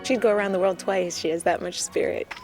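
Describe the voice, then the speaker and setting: A woman's voice: mid-range voice, steady tone, brisk, darkish tone, soft background music. A woman from the cast recalling moments on set with amusement. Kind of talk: interview anecdote.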